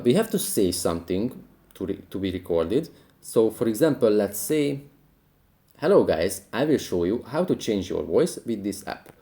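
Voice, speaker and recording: A man's voice: fairly low pitch, warm tone. A man hosting a tutorial, narrating step-by-step app instructions. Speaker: tutorial host. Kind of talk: scripted how-to narration.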